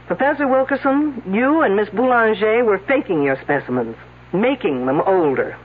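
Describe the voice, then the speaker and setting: A woman's voice: medium pitch, animated, moderate pace, breathy edge. An actress playing a confident, wisecracking female detective in a radio mystery, needling the suspects in a sardonic way. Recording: tinny old radio broadcast.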